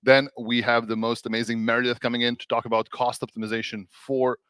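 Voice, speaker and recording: A man from Serbia hosting a casual tech livestream, animated and laughing often, with many restarts and fillers. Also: medium pitch, quick pace, clear, resonant tone, dry recording. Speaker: host, developer advocate.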